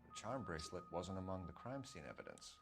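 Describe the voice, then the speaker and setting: A man's voice: low-pitched, very animated, fast talker, vocal fry, faint music behind, full character voice. An actor playing an earnest, businesslike male police detective in scripted video game dialogue.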